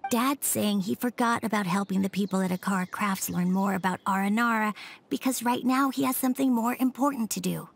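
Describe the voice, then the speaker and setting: A woman voicing a quiet, hesitant young boy in a fantasy video game. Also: mid-range voice, a little fast, gravelly, breathy edge. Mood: matter-of-fact. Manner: soft-spoken, hesitant. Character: quiet, shy young boy, the author's son. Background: game background music.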